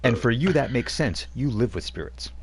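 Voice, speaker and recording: A man's voice: mid-range voice, animated, moderate pace, slight background noise. A man acting as game master, casually explaining tabletop rules step by step.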